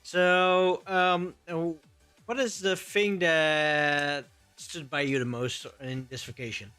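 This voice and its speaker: A man's voice: a little high, fairly even intonation, slow pace, pushed and bright, clear, resonant tone, no echo, faint music behind. Hesitant male interviewer. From Netherlands. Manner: hesitant, fillers before questions, nonnative phrasing. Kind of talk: interview questions.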